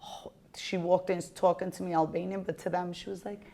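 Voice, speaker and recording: A woman hosting a podcast, telling stories in an animated, casual way with frequent swearing. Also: on the low side, fairly quick. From United States. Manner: animated storytelling, swearing, laughing. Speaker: podcast host. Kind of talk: casual interview chat.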